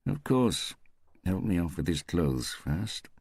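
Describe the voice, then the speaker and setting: A man voicing a sardonic woman pathologist, low-pitched. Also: very animated, slow, creaky, darkish tone, breathy edge, dry recording, full character voice. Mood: matter-of-fact. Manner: mischievous, wry. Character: sardonic, teasing woman pathologist in Soviet Russia.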